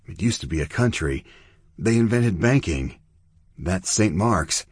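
A man voicing an anxious, soft-hearted young girl with an earnest, plain-spoken delivery in an audiobook. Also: low-pitched, expressive, a little slow, husky, no room sound, studio quality, heavily acted. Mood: explaining.

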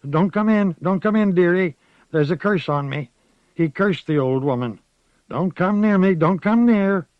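A man's voice: high-pitched, expressive, measured pace, clear, resonant tone, no room sound, lo-fi sound, voice pushed up. A man voicing a frightened, cursed old witch woman who pleads in a quavering voice. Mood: pleading, frightened.

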